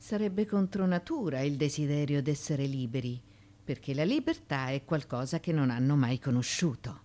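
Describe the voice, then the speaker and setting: A woman's voice: sits low, moderate pace, warm tone, close to an everyday voice. A woman acting in a radio drama as a proud, anguished former slave turned wife in ancient Athens, with an impassioned, theatrical delivery. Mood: bitter, reflective.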